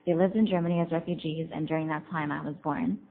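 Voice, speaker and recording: A young woman testifying at a hearing, hesitant and filling pauses with ums. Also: sits low, fairly even intonation. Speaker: student witness. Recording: tinny lo-fi recording with faint hum.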